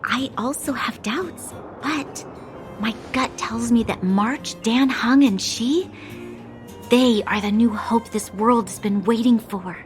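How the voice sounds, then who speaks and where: A sincere, composed young woman with a slightly high voice. Her manner is gentle and measured, with faint game music behind her. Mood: gentle, persuasive. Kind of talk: scripted game voice acting.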